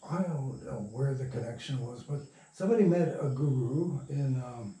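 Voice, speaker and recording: An older man telling anecdotes in an interview, halting and often interrupting himself in informal, salty language. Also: medium pitch, expressive, warm tone. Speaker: interviewee, radio drama producer. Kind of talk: rambling anecdotal storytelling in an interview. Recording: echoey, distant mic, faint noise.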